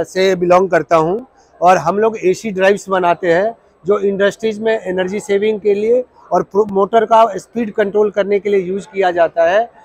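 A man's voice: high voice, darkish tone, no room sound. A man with an Indian accent who presents his company as an exhibitor. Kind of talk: company introduction. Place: industrial exhibition stall.

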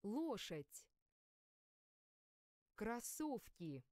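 Slow, careful woman reading vocabulary. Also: medium pitch, big pitch swings, darkish tone, no echo, clean studio sound. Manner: slow, careful word-by-word enunciation. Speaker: language course audio reader. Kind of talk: reading isolated vocabulary words.

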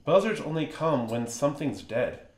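A man's voice: a little high, animated, normal speed, lightly acted. A man playing a puzzled soldier out on a night search in a radio drama. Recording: echoey room sound.

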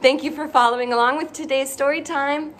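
A woman's voice: high-pitched, steady tone, fairly quick, fairly bright tone, a little hiss or hum. A young woman hosting a children's story time, reading a picture book aloud in an exaggerated, playful way with gasps and questions. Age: young adult. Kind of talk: animated picture-book read-aloud for toddlers. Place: small indoor playroom with bookshelves.

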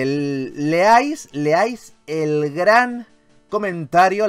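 A man with a Spanish accent from Spain hosts a gaming video in a fairly high, very animated voice. He talks casually and enthusiastically, with playful grandiosity and coarse language. Music plays behind him. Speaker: gaming YouTuber host. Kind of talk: casual game commentary.

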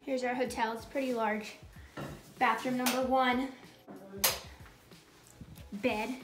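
A woman's voice: fairly high pitch, level tone, takes it slowly, noisy background. A young woman narrating her own ski trip vlog in a playful, joking tour-guide way, outdoors on the slopes. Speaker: vlogger. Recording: with clear background music.

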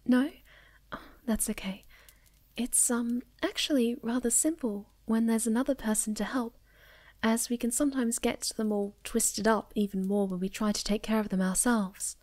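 A woman playing a sweet, timid young moth girl in an ASMR roleplay, speaking softly and breathily with hesitant stammers. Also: a little high, fairly even intonation, normal speed, close to an everyday voice. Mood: shy, warm. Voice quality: soft, breathy, often near a whisper.

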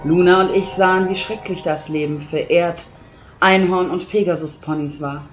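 A woman playing a grave, regal pony princess with a solemn, formal, measured delivery in an audio drama. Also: on the low side, steady tone, faint music behind, natural voice. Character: grave, regal pony princess, a long-reigning female ruler. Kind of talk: fan audio drama acting.

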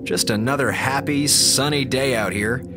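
An actor playing a sarcastic, theatrical slacker communications officer on a space station in a radio drama. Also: male voice, mid-range voice, normal speed, loud music behind the voice, lightly acted. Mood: mock cheerful. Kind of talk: radio drama monologue as a log.

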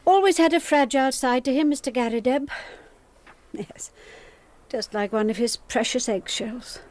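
Actress doing a plain-spoken housekeeper, stoic, fairly high voice. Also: female voice, normal speed, darkish tone, natural voice. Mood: matter-of-fact. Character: brisk middle-aged female housekeeper. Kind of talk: 1990s radio drama acting.

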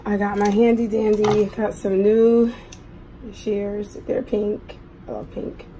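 A middle-aged woman with a hoarse voice, chatting casually to viewers as a mukbang host at a table. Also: medium pitch, flat delivery, slow pace, mellow. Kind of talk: casual eating vlog chat. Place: indoors at a table at home. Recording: clearly noisy room recording.

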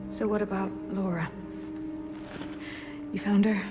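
A woman's voice: medium pitch, steady tone, measured pace, close to an everyday voice. Woman acting emotional, needy young woman over music. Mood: pleading, urgent. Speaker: video game character. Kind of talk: dramatic cutscene acting.

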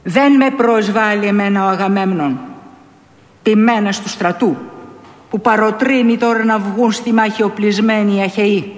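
A woman playing a brave, loyal male warrior hero in a staged epic recitation, firm, measured and commanding. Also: medium pitch, close to an everyday voice. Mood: stern, rallying. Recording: echoey hall with long reverb.

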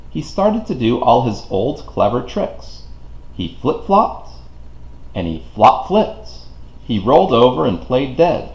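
A man reading a children's storybook aloud in an expressive, deliberate way for young listeners. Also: medium pitch. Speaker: children's book reader. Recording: roomy, echoing home recording.

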